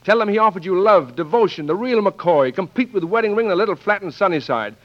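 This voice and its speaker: An actor playing a brash, romantic American flyer, slangy and sardonic, in 1940s radio drama style. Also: male voice, sits high, animated, quick pace. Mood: bitter, sarcastic. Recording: muffled, noisy 1940s radio recording.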